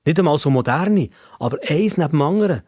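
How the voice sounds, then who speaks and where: A man's voice: a little high, lively intonation, quick pace, darkish tone, breathy edge, no echo, studio quality. A man with a Swiss German accent narrating a children's story in the first person, in simple, conversational phrasing.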